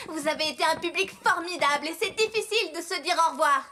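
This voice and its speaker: A woman's voice: very high-pitched, quick pace, bright, forceful tone. A woman voicing a friendly, polite young heroine in a French children's cartoon, speaking expressively. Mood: earnest. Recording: music behind the voice.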